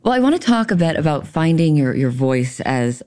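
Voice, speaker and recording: A woman hosting a radio music show, interviewing a guest in a measured, friendly way. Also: low-pitched, sing-song, dark tone. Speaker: radio host.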